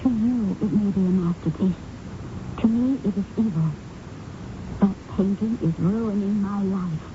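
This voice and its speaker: A muffled old radio recording with clear noise carries an actress playing an anguished, bitter woman. Her pitch is middling and her delivery is intense and theatrical, in radio drama style. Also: measured pace, lightly acted. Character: anguished woman, bitterly tormented by a painting she owns.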